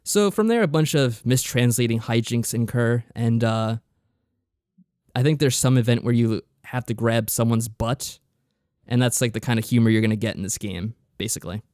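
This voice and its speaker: A man co-hosting a podcast, explaining things in casual, matter-of-fact review chat with deadpan sarcasm. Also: medium pitch, moderate pace, smooth, dry recording, clean studio sound. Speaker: podcast co-host.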